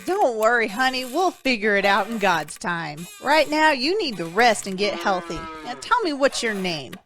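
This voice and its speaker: A woman plays a kindly country woman from an audio drama. Her voice is fairly high and moves up and down freely, with a folksy, soothing, motherly way of talking. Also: sing-song, moderate pace, a bit bright, soft background music.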